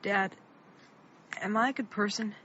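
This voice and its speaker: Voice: medium pitch, fairly even intonation, unhurried, pushed and bright. A performer playing an earnest, anxious thirteen-year-old small-town boy, urgent in manner, in a radio drama. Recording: muffled, noisy radio play recording.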